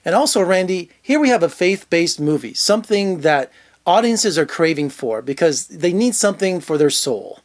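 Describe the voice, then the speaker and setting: Upbeat film critic hosting an interview. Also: male voice, high-pitched, expressive, moderate pace. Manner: upbeat, promotional. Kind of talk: interview host.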